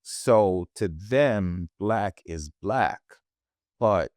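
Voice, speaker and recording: Man, low smooth voice, dry sound, reflective interview talk. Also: lively intonation, very slow, darkish tone, no echo. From Jamaica. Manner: reflective, with frequent restarts. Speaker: podcast guest, filmmaker. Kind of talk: conversational interview.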